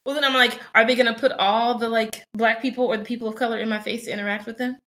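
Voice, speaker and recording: A woman's voice: on the high side, steady tone, quick pace, fairly bright tone. A young adult woman co-hosting a casual podcast, talking candidly and reflectively with many fillers and restarts. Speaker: podcast co-host.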